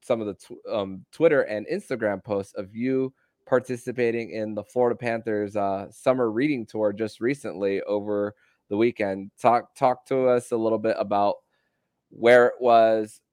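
A man hosting a sports podcast and interviewing, with somewhat halting, stop-start phrasing. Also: on the low side, steady tone, darkish tone, no room sound, studio quality. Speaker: podcast host.

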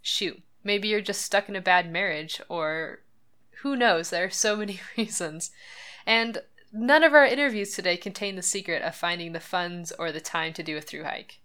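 Woman podcast host, low and smooth, earnest chatty read, light laughs. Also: moderate pace, bright, forceful tone. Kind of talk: scripted podcast monologue.

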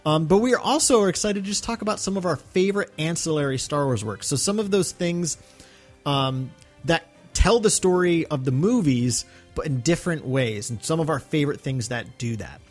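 A man's voice: on the high side, lively intonation, moderate pace, soft background music. A man co-hosting a podcast in casual chat, earnest and chatty with many fillers. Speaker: podcast co-host.

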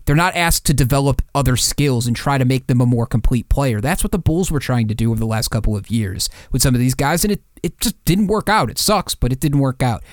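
A man's voice: medium pitch, dry recording, clean studio sound. A man co-hosting a sports podcast in casual, slangy, emphatic talk with rhetorical questions.

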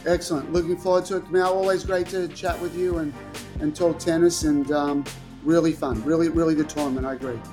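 An upbeat man with a fairly high voice talks through tennis tactics as a podcast guest. He explains eagerly and jumps between points. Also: brisk, over music. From Australia. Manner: enthusiastic, animated explaining. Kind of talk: podcast interview.

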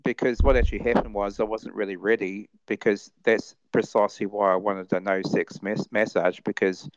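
Halting low-voiced man, lo-fi audio. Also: dry recording. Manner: halting, repetitive, rambling. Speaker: guest. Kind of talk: casual chat, anecdote telling.

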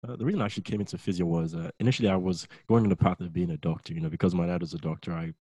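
A young man from Nigeria, a newly qualified physiotherapist, talking as a guest in a relaxed, rambling way and laughing often. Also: sits low, rapid-fire, no room sound, dull audio. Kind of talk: casual interview chat.

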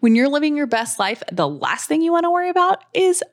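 A woman's voice: high voice, very animated, a little fast. A woman co-hosting a podcast, giving casual, chatty and emphatic personal endorsements of sponsors. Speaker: podcast co-host reading sponsor ads.

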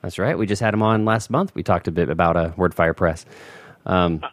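A man hosting a podcast, asking questions in a relaxed, attentive way. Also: low-pitched, a little fast, dry recording, studio quality. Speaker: podcast host. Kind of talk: casual interview.

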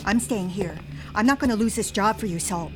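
A woman playing a level-headed, exasperated catering worker pleading for calm in an audio drama. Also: medium pitch, brisk, over music, close to an everyday voice. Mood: firm, reproachful.

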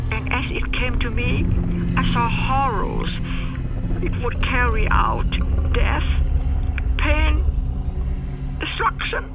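An actress playing a haunted, remorseful older woman, a non-native English speaker confessing wartime horrors in a grave, halting way in an audio drama. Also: fairly high pitch, muffled recording, background noise. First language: not English. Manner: halting, grave, slow and deliberate.

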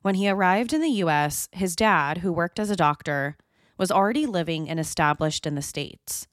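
A woman's voice: lowish voice, animated, moderate pace, dry recording. Woman narrating true crime, dry close sound. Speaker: podcast host.

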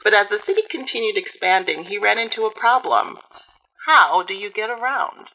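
A woman's voice: mid-range voice, animated, moderate pace, sharp tone, lo-fi sound. A woman playing a wry author in a radio documentary interview, telling the story in a dry, matter-of-fact way. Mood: wry, explanatory. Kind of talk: documentary interview in audio fiction.

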